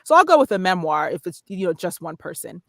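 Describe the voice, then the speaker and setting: A woman's voice: on the low side, sing-song, fast talker, a bit bright, no echo. Woman explaining in a dry, close recording. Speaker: podcast guest, voice-over artist and narrator. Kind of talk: casual explanatory interview talk.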